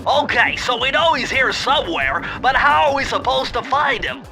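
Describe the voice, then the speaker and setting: A man's voice: extremely high pitch, big pitch swings, normal speed, creaky, exaggerated voice. A man voicing a brainy, fussy cartoon animal gadget expert, nasal and precise. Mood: explaining. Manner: precise, pedantic, nerdy. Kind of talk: video game cartoon voice acting. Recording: music playing behind.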